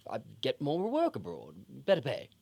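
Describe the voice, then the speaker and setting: Man acting an indignant, wildly expressive passport applicant. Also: medium pitch, normal speed, creaky, dry recording, exaggerated voice. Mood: explaining. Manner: indignant, protesting. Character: aggrieved man wrongly declared dead, seeking a passport. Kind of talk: audio play acting.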